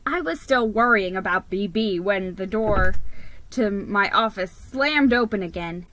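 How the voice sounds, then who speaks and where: Bright-voiced woman reading a story dramatically. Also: mid-range voice, measured pace, low-quality recording. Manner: theatrical, expressive. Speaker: story reader.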